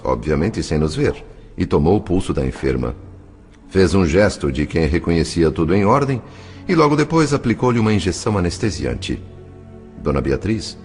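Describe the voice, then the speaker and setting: A man plays a compassionate narrator in a dramatized audiobook. His low voice moves expressively up and down in measured storytelling, with faint music behind. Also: a little mellow. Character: compassionate spirit chronicler narrating what he witnessed. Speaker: narrator and character.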